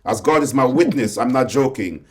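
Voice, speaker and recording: A man's voice: medium pitch, animated, normal speed. A man with a Guyanese accent asks his guest questions in long, winding turns. He circles back, repeating words and restarting as he goes. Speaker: interviewer. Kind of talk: conversational interview.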